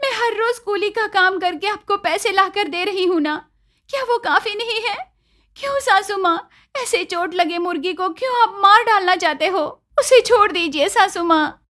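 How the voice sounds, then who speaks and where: A woman's voice: extremely high pitch, normal speed, pushed and bright, voice pushed up. A woman voicing a meek, kind, suffering young daughter-in-law who speaks in a plaintive, pleading way in a moral story. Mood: desperate pleading.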